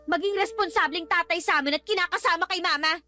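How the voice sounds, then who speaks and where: A woman's voice: very high voice, quick pace, sharp tone, faint music behind. A woman playing a resentful, sarcastic daughter talking back in a radio drama. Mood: sarcastic, defiant. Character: defiant, resentful breadwinner daughter arguing with her father.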